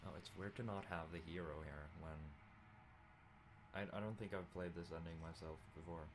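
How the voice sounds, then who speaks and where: A man's voice: low voice, steady tone, moderate pace, noisy background. A man chatting offhandedly and mumbling to himself as he practises voice acting on a stream. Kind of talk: casual muttered chat to listeners.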